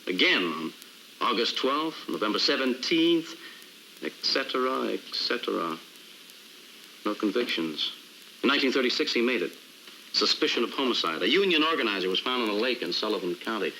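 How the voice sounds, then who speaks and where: An actor playing an indignant, driven city prosecutor in an American crime drama, with a wry, wordy, sarcastic manner. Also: male voice, fairly low pitch, very animated, normal speed, bright, forceful tone, a little hiss or hum, full character voice, low-quality recording. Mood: sardonic.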